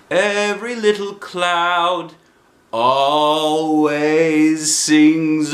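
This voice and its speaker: Silly old storybook toy bear, way high pitched, solemn n anxious, drags words out, roomy echo. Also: male voice, fairly bright tone, exaggerated voice. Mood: dreamy singsong. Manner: ponderous, solemn, drawn-out phrasing. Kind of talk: children's storybook read-aloud.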